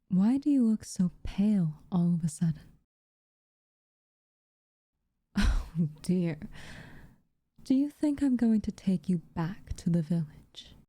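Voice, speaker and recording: A woman playing an aloof, teasing but secretly tender witch in a soft, intimate ASMR roleplay, with a breathy, half-whispered delivery and dramatic pauses. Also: on the low side, dry recording, studio quality. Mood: coaxing, teasing.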